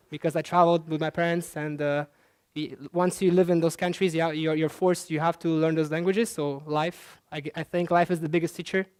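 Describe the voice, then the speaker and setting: Young man with a smooth, clear voice. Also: fairly high pitch, darkish tone. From Central Asia. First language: Russian. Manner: chatty, loose, many fillers and restarts. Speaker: conference speaker. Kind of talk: presentation talk.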